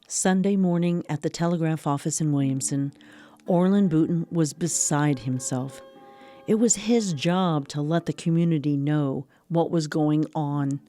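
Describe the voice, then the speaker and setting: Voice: medium pitch, a little slow, mellow, faint music behind. A narrator with an American accent reading scripted narration for a radio drama.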